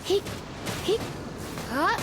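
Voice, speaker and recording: A woman voicing a young female fighter, giving short grunts and shouts in combat. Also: very slow. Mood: fighting. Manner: sharp battle grunts and shouts. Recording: game audio with music and hits.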